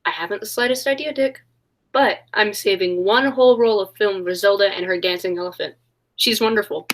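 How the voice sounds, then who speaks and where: A woman playing an eager, excitable young woman in a 1940s-style radio drama. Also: mid-range voice, fairly quick, a little hiss or hum, natural voice. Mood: bright, casual.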